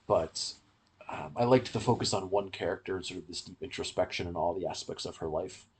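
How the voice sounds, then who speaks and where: A man's voice: deep voice, brisk, a little hiss or hum. A man hosting a review podcast, explaining things in a casual, conversational way. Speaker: podcast host reviewing audio dramas.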